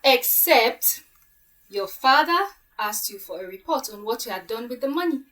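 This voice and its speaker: A woman playing a shrewd, assured woman who deliberately lays out a case in a story drama. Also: on the high side, animated, fairly bright tone, far from the mic, poor audio. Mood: assured, accusing. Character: shrewd woman calmly exposing a plot.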